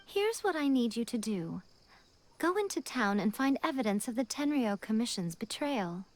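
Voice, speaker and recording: A woman's voice: a little high, very animated, normal speed. A woman playing a sly, elegant shrine maiden in a video game, poised and formal, teasingly condescending as she gives orders. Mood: teasing, instructing. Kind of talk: English video game voice acting.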